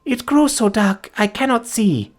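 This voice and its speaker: A woman's voice: on the high side, animated, measured pace, pitched above the performer's own voice. A woman voicing a devoted young woman who is dying, speaking tenderly in faint, gasping breaths. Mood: tender, fading. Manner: gasping, tender, archaic formal speech.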